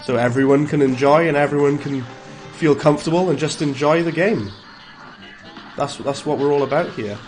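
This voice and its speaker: A man's voice: a little high, moderate pace, faint music behind. A man with a Welsh accent streaming a game live, chatting casually, greeting viewers and reacting aloud to the play. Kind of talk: live gameplay commentary and chat replies.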